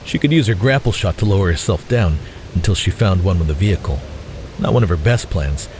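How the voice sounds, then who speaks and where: A man's voice: low voice, lively intonation, a little fast, a little mellow, a little hiss or hum. A man reading scripted narration for a dramatic audio story.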